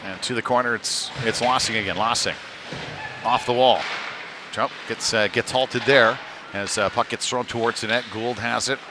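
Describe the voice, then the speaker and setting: A man gives live play-by-play of a hockey game in a mid-range voice. His phrasing is halting, often pausing partway through a sentence. Clear venue noise from an indoor rink runs behind him. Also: medium pitch, fairly even intonation. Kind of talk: live sports commentary. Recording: noisy rink sound behind the voice.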